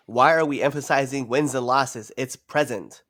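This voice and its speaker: A man's voice: mid-range voice, measured pace, fairly bright tone, dry recording, studio quality. A man co-hosting a wrestling podcast, trading jokes in loose banter, laughing often and swearing freely. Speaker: podcast co-host.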